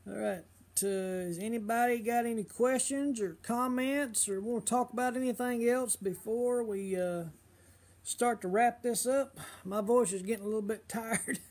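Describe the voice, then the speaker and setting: A middle-aged man, slightly hoarse, chatting casually on a fishing livestream and giving advice with lots of uh and um. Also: extremely high pitch, moderate pace, a little mellow. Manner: rambling, full of uh and um fillers.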